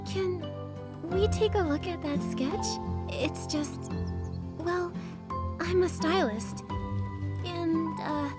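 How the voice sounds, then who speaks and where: A woman playing a gentle, polite young stylist heroine in a video game, speaking earnestly. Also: very high-pitched, slow pace, pauses often. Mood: polite, curious. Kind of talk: video game character acting. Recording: music behind the voice.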